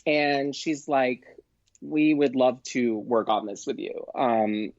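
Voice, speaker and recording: A man chatting casually as a podcast guest, telling stories in an animated way and acting out what people said. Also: medium pitch, slow, no room sound, lo-fi sound. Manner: animated, acts out quoted exchanges. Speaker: podcast guest, performer and producer.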